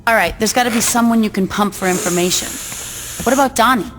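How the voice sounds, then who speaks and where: An actress playing a laid-back, level-headed young gang lieutenant in a video game, talking in a casual, reasoning way. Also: female voice, a little high, fast, a bit bright, close to an everyday voice. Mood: calm, persuasive. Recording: long reverb, faint background noise.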